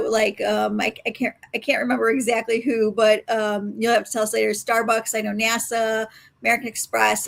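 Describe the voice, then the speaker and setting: A woman's voice: on the high side, creaky. A woman hosting an interview, introducing her guest in a chatty way with many fillers and restarts. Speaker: host.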